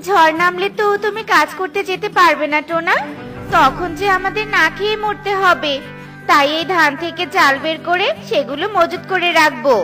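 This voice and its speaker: Woman voicing a high, sensible little mother bird. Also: normal speed, bright, forceful tone, faint music behind. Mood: gentle. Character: small, sensible, hardworking mother bird, gentle and caring. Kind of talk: children's cartoon voice acting.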